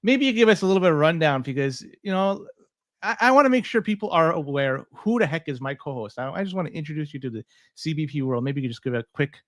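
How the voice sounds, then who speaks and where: A man's voice: fairly high pitch, expressive, quick pace, dry recording, clean studio sound. A man hosting a podcast, chatting casually and reading sponsor spots in an upbeat, salesy way. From Canada. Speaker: podcast host. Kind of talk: casual podcast talk and live sponsor reads.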